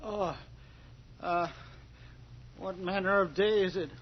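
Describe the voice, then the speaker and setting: Brooding old farmer, halting formal speech, fairly high pitch, muffled noisy radio drama recording. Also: male voice, steady tone. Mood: weary. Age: older. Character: weary old male farmer, widowed and brooding.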